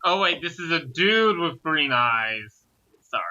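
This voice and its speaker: A man's voice: on the high side, very animated, measured pace, sharp tone, muffled recording. A man giving casual commentary as part of a group discussion.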